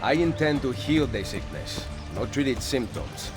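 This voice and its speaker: A man's voice: mid-range voice, big pitch swings, moderate pace, music in the background, close to an everyday voice. A man voicing a resolute young assassin. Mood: determined. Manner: earnest, resolute, curt. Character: grim young male assassin, determined and defiant. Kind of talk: video game cutscene dialogue.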